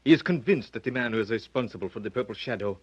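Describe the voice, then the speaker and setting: A courteous captain with a rather low, husky voice and clipped, official manner. He is heard in a muffled old radio adventure serial. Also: expressive, quick pace. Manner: formal, clipped, businesslike. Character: courteous, official male ship or port captain. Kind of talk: 1930s radio drama acting. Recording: dull, muffled vintage radio recording.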